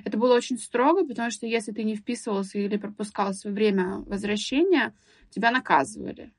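A young woman guest on a podcast, recalling her school years in a thoughtful, hesitant way with many pauses and restarts. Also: mid-range voice, slightly rough, no echo. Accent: Russian speaker living in England. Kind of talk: casual podcast interview.

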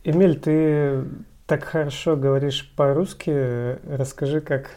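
A man's voice: fairly high pitch, steady tone, a little slow, warm tone. A man hosting a podcast and interviewing his guest in a conversational way.

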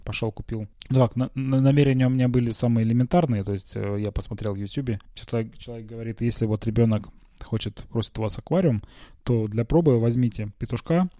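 Male podcaster, very mellow and muffled-warm tone, mid pitch, getting over a cold, hesitant conversational storytelling, dry room. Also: fairly even intonation, quick pace, dry recording. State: recovering from a cold, slight cough. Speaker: podcast host. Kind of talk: casual personal storytelling. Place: small quiet bedroom, lying on a bed.

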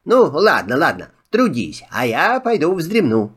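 A crafty little rabbit chirps in a high, swooping voice. He flatters and wheedles his way through every deal. A lively trickster from a children's filmstrip tale. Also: unhurried, slightly rough, heavily acted. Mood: sly. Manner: wheedling, flattering, sing-song. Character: sly, chatty little male rabbit trickster. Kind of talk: filmstrip audio drama.

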